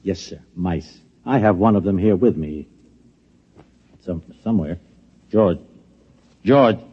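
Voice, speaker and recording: An American actor playing a flustered, middle-aged lab security chief in a 1950s radio drama, stammering, insistent and coaxing. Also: male voice, low-pitched, takes it slowly, slightly rough, heavily acted. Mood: formal, awkward. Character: flustered, pleading security chief of an atomic laboratory. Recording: muffled old radio recording.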